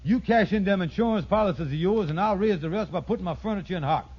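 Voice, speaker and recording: An actor playing a scheming middle-aged con artist in an old radio sitcom, folksy and wheedling, stammering when cornered. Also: male voice, high voice, fast, breathy edge. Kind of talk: 1950s radio sitcom acting. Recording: muffled old radio broadcast.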